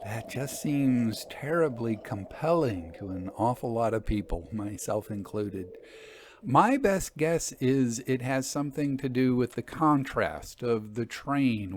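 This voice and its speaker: A man reading scripted narration as a storytelling host, expressive and deliberate. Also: on the low side, dry recording.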